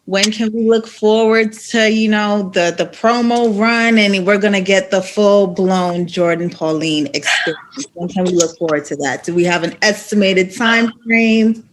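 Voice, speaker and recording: A woman's voice: medium pitch, unhurried. Upbeat woman host, faint background noise. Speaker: interview host. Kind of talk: casual interview chat.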